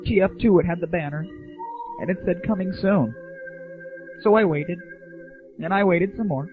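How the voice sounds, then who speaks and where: A man's voice comes through narrow, phone-like lo-fi internet audio. He hosts a gaming show as an informal one-man rant. His delivery is crude and sarcastic, with exaggerated griping. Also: fairly high pitch. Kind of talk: informal solo rant and commentary.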